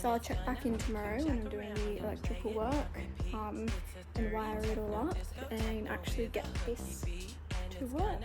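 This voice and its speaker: A woman's voice: a little high, moderate pace, loud music behind the voice. A woman giving casual, informal how-to narration for a DIY vlog, with slangy, unpolished wording. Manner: informal, learning as she goes, slangy. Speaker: vlogger showing a DIY car install.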